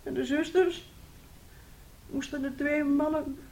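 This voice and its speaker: An actress playing a troubled, soothing aunt in a Dutch radio play, telling things in a halting, emotive way. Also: female voice, high-pitched, steady tone, darkish tone. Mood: anguished. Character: troubled, soothing aunt and mother of a disturbed boy.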